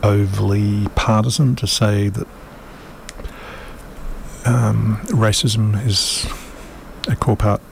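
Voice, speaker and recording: A man's voice: fairly low pitch, fairly even intonation, takes it slowly, creaky, long pauses, a little hiss or hum. A man hosting a community radio show, chatting casually in a rambling, wry way with plenty of fillers.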